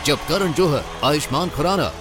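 A man voicing an excited, punchy awards-show promo as announcer. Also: a little high, very fast. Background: loud music.

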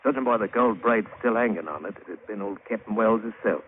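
A man's voice: fairly low pitch, brisk, natural voice. An actor playing a plain-spoken British sailor-diver who tells his grim adventure colloquially, in old radio drama style. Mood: hushed storytelling. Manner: colloquial old-salt storyteller with rustic grammar. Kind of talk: 1940s-50s radio drama acting and narration. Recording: muffled, narrow-band, hissy old radio broadcast.

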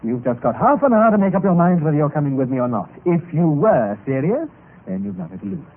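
Intense secretive young gent, old radio play, voice swings a lot, thin tinny audio. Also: male voice, on the high side, big pitch swings, fast talker. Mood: urgent, solemn. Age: young adult. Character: earnest, secretive young gentleman, desperate club recruiter. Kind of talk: old-time radio drama acting.

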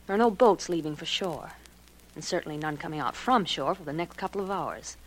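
An actress in a 1940s radio drama playing a jealous wife who makes cool, deliberate threats. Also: female voice, low voice, animated. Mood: menacing. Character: jealous, menacing wife holding a gun. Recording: noisy old 1940s radio recording.

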